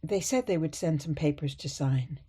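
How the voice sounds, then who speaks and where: A woman playing a sweet, gently stubborn elderly mother in a radio play, coaxing and chiding softly. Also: low voice, normal speed, husky. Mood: meek.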